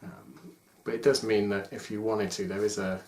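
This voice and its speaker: Man, 40s, low voice, informal hobbyist video presenter, roomy home recording with faint noise. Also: little pitch movement, normal speed, a little mellow. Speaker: toy collector presenting a video. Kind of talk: casual chat to camera about collectible figures. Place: small domestic room indoors. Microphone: camera microphone at about arm's length. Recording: echoey room with faint noise.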